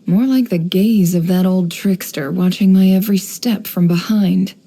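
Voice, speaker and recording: An actress voicing a sly, composed young woman, an intelligence broker in a video game, speaking in a measured, coolly knowing, teasing way. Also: lowish voice, mellow. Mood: guarded, knowing. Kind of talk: video game character voice lines.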